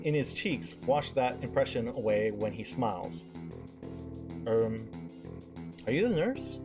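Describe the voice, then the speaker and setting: A streamer reading a visual novel aloud live, often stumbling and repeating phrases. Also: mid-range voice.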